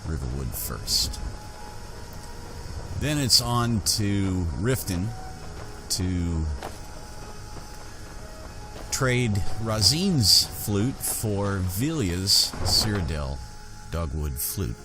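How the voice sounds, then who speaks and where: A man narrating his fantasy game roleplay in character, unhurried and pausing as he goes. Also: deep voice, big pitch swings, music in the background, low-quality recording.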